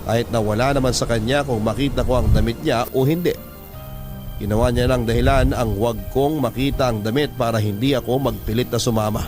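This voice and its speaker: A man's voice: mid-range voice, fairly even intonation, a little fast. A man narrating a sentimental story in a solemn, dramatic scripted style, as a host reading a letter. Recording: loud music bed behind voice.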